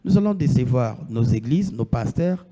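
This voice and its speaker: A man's voice: mid-range voice, lively intonation, normal speed, dark tone. Man in his thirties preaching in a reverberant hall. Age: 30s. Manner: asks the congregation questions and answers them himself, repeating phrases. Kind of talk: sermon.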